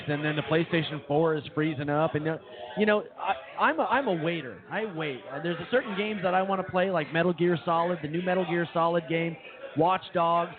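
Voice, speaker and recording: Higher-pitched male co-host, tinny sound. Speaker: radio show co-host. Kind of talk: casual live talk radio chat. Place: live broadcast from a busy brewery. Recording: phone-like sound, loud bar crowd behind.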